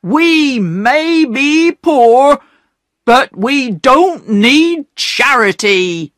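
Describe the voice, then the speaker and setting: Woman reading proud poor working man, slow and emphatic, high pitch. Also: big pitch swings, no echo, studio quality, heavily acted. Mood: indignant. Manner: slow, emphatic, wounded pride.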